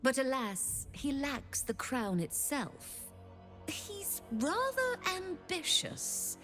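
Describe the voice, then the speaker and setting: Lilting, sly devil merchant. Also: female voice, high voice, wildly swooping pitch, very slow, over music, exaggerated voice. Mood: scheming. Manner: theatrical, teasing, mock-courteous. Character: sly, greedy female devil diabolist selling infernal bargains. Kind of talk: video game character acting.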